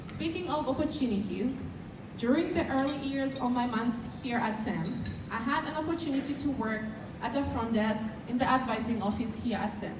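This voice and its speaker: Young Kenyan woman, student speaker, measured and earnest; distant mic in a noisy, echoey hall. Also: fairly high pitch. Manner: measured, earnest, sometimes shy. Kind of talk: prepared speech at a dinner. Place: large event hall.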